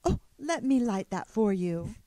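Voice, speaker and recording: A woman's voice: mid-range voice, expressive, a little slow, dark tone, no room sound, lightly acted. A woman playing a sweet, doting housewife in a mock vintage radio advertisement. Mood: sweet, attentive. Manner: sweet, domestic, attentive.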